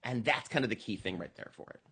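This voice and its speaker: An American man gives a conversational campaign pitch as a podcast guest. His pitch moves around animatedly and his voice has a slightly rough, husky grain. He explains things earnestly and with emphasis. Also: expressive, quick pace, pushed and bright. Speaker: political candidate being interviewed.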